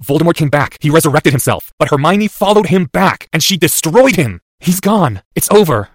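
A man plays a teenage boy wizard in a dramatic audiobook, gripped by panic and dire visions. The voice is pushed high and bright, with urgent, strained outcries. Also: very animated, fast, no room sound, studio quality. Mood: breathless, insistent.

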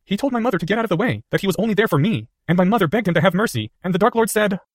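A man's voice: high voice, lively intonation, rapid-fire, dry recording, studio quality, voice pushed up. A man reading the part of a clever young boy wizard, talking in an earnest, urgent way. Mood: shaken.

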